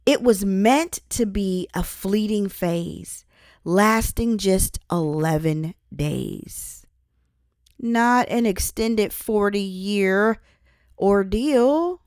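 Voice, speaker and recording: Slow, deliberate woman reading inspirational teaching. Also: medium pitch, darkish tone, no echo, studio quality. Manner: deliberate, emphatic phrasing with pauses. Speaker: author and host reading own book. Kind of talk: scripted inspirational audiobook-style reading with Bible teaching.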